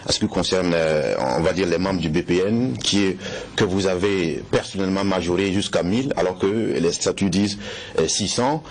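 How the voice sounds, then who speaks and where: A man conducts a formal political interview. His voice is very low and a bit husky, and he asks his questions in a measured, formal way. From Burkina Faso.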